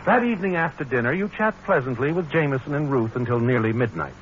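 Ominous vintage radio suspense narrator played by a man, knowing and dramatic, very animated delivery, dull old broadcast sound. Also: medium pitch, quick pace, slight background noise, close to an everyday voice. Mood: knowing, suspenseful. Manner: knowing, dramatic, addresses the character directly. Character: ominous male narrator needling the guilty protagonist. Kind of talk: 1940s radio thriller narration in second person. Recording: muffled old radio recording with music.

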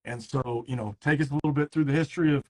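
A man's voice: medium pitch, fast. Rambling man in his thirties hosting. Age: 30s. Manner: loose and rambling, lots of fillers. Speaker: podcast host. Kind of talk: casual interview chat. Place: San Diego.

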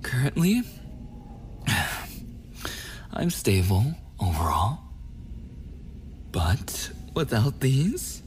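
A man acts out a possessive, murderous yandere boyfriend for an ASMR audio roleplay. He speaks very slowly, with lively swings of pitch, sliding between menace and whispers. A faint noise sits behind the voice. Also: exaggerated voice. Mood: sweetly threatening. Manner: slow, drawn-out menace slipping into whispers and giggles.

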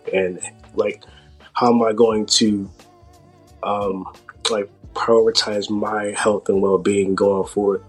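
Husky low-voiced American man, halting speech. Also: rough recording. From Georgia, United States. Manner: halting, filler-heavy delivery with self-described speech impediment. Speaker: podcast guest, hip hop artist. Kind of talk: casual interview talk.

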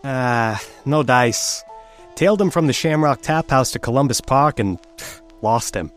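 An actor playing an eager, deferential young detective's assistant in an old-time radio drama, earnest and a bit hesitant. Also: male voice, mid-range voice, animated, measured pace, soft background music, natural voice.

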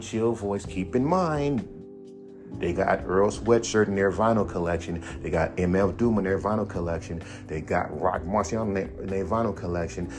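A man in a casual podcast monologue, blunt and profane, talking in a fairly low, monotone voice. He rants with animated swings of emphasis. Music plays clearly underneath him. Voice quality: self-described monotone voice. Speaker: podcast host. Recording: music playing behind the voice.

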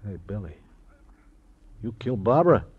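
Distraught, bitter widower, dramatic swings. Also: male voice, lowish voice, sing-song, normal speed, breathy edge. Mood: anguished, accusing. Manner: emotional, repeats himself. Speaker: actor in a radio detective series. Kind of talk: old-time radio drama acting. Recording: muffled vintage radio recording.

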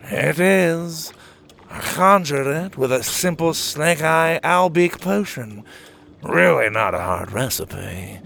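Man putting on a creaky, fussy old wizard. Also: a little high, animated, slow, fairly bright tone, a little hiss or hum, voice pushed up, full character voice. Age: older. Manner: bossy, fussy, abrupt. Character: eccentric ancient male wizard, fussy and scatterbrained. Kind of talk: storybook character voice.

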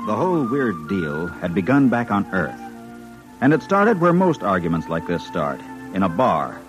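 A man's voice: deep voice, big pitch swings, normal speed. An actor playing a plainspoken American engineer who tells his space adventure wryly in 1950s radio style. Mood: wry narrating. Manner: conversational storytelling, wry. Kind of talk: 1950s radio acting, first-person narration. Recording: muffled vintage radio, faint music.

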